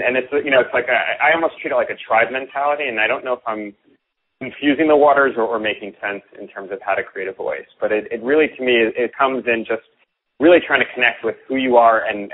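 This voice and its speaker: A man's voice: mid-range voice, quick pace. A man guest who coaches on copywriting, explaining earnestly and often repeating words as he starts sentences. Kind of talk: casual interview chat. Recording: tinny phone-like line.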